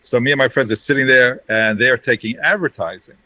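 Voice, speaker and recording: A man's voice: mid-range voice, brisk, pushed and bright. A middle-aged man, born in Romania and raised in Brooklyn, telling brash, joking stories as an interview guest. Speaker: interview guest, ad creative director. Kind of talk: casual storytelling.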